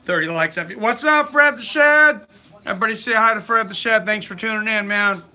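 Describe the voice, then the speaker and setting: A man hosts a live stream in a loose, chatty way, reading viewer comments and pushing people to hit the like button. His voice sits high for a man. It has a very bright, sharp edge. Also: a little fast. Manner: chatty, reads viewer comments aloud, urges likes.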